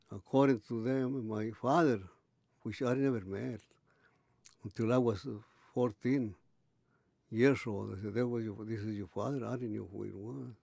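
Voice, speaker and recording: A man in his eighties recalling his early life in an oral history interview, halting and restarting as he searches for words. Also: lowish voice, vocal fry, warm tone, dry recording, clean studio sound. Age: 80s. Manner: halting, many restarts and pauses.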